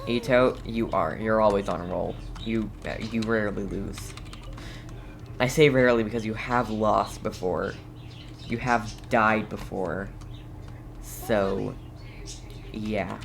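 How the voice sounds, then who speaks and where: A man streaming a video game with casual, playful commentary. He talks slowly and haltingly, often muttering, while game ambience is clearly audible behind him. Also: fairly low pitch, steady tone, a little hiss or hum.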